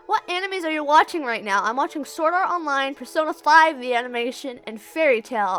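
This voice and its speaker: A woman's voice: a little high, a bit bright. A woman casually reading out viewer questions and answering them in a rambling, giggly, self-deprecating way. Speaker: YouTube creator and amateur comic dub voice actor. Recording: music playing behind the voice. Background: background music.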